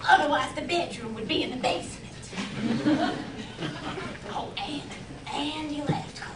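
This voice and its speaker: Woman playing a high-voiced, flustered old lady. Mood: wary, explaining, then wistful. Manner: halting, blunt, easily flustered. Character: reluctant, embarrassed elderly woman recalling her youth. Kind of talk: theatrical monologue performed on stage. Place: performance room, recorded from the back. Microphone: camera at back of room. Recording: roomy hall, distant mic, noisy camera audio.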